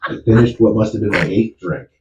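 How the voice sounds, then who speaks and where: A man reading a horror story in measured, even scripted narration. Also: lowish voice, noisy background. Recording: dull, roomy, distant audio.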